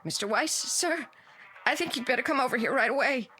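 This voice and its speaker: Woman, nervous deferential maid, high bright voice, old TV drama. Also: expressive, fairly quick. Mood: uneasy. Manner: polite, fearful. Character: deferential, uneasy female housemaid. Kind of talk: old television drama acting. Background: faint music.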